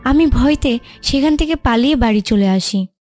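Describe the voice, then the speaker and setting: High-voiced sorrowful ghost of a young woman, acted. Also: expressive, moderate pace, a little mellow. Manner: plaintive, emotional, dramatic delivery. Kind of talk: dramatic audio story acting. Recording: music under the voice.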